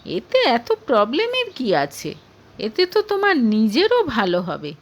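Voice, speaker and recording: A reproachful young mother's boy in a romantic audio drama, played by a woman narrator. He argues and justifies himself in a high, lively voice. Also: a little slow, exaggerated voice. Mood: pleading.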